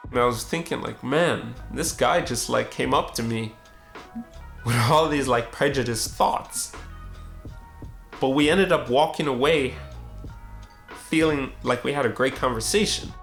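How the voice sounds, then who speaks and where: A young man tells a story from his own life in a relaxed, conversational way, with a gravelly edge to his voice. He slips into the voices of the people he met as he goes. Faint music plays underneath. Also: mid-range voice, unhurried, pauses often. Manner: casual, conversational, acts out the dialogue. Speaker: street photographer and vlogger. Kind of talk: reflective storytelling narration.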